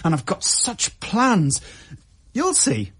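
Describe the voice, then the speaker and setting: A possessive young busker in a radio drama. His husky voice leaps wildly up and down, needy and insistent, quick to flare. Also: high voice, huge pitch swings, fairly bright tone, full character voice. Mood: grandiose excitement. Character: possessive, volatile young man, a busker with grand plans.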